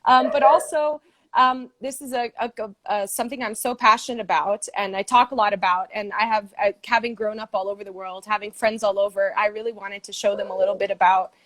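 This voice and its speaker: A woman with an American accent, a Brazilian American guest speaking earnestly on a video call, often pausing with ums and soft laughs. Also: mid-range voice, steady tone, no room sound. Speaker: guest supporter. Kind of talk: casual video call conversation. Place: home, stayed in the US.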